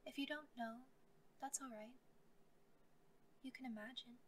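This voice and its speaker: A woman leading a guided meditation, speaking gently and calmly as she gives soft scripted instructions. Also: high-pitched, a little slow, a little hiss or hum.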